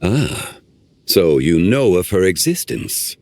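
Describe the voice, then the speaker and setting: A man voicing an ancient male guardian in a video game, speaking in a formal, measured, old-fashioned way. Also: low voice, big pitch swings, full character voice. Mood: grave.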